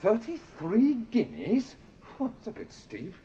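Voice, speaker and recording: An actor playing an affable, excitable gentleman with an upper-class English accent and breezy manner, in a radio drama. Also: sits high, a little slow, heavily acted. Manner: breezy, exclamatory. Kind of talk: British radio drama acting. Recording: dull, noisy old radio drama recording.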